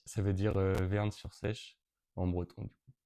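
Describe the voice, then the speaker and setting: A man's voice: low-pitched, level tone, measured pace, mellow. A young man of nineteen from Brittany, answering interview questions with frequent hesitations and restarts. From Brittany, France. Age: teens. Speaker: interviewee, event co-organizer.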